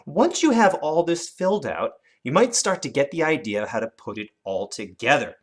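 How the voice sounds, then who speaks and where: Animated teacher with a very lively pitch. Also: moderate pace. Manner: animated, expressive storytelling emphasis. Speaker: elementary teacher. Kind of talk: instructional video lesson for children.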